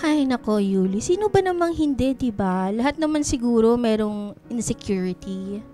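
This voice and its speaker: High girlish young filipino woman acted by a woman, whiny teasing bestie in a radio drama, a bit self-conscious, faint music. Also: lively intonation, moderate pace, mellow, close to an everyday voice.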